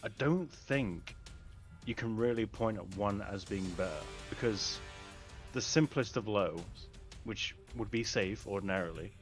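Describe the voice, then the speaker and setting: A man's voice: lowish voice, unhurried. A man commentating as a guest over voice chat, chatty and a little self-conscious, breaking down the play. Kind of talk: casual esports commentary. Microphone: online voice chat. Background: game music.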